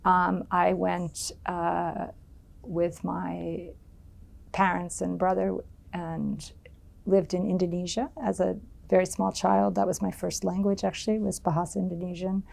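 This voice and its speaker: A woman's voice: fairly low pitch, level tone, unhurried, creaky, darkish tone. A middle-aged American woman giving an oral history interview, speaking thoughtfully with many ums and restarts. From United States.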